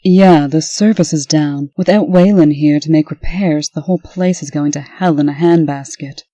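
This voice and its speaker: A woman's voice: low voice, moderate pace, dark tone, no room sound. A woman playing a bossy, exasperated woman in a comic dub, prim and impatient, on a dull lo-fi microphone. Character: brisk, exasperated female manager, bossy elder sister.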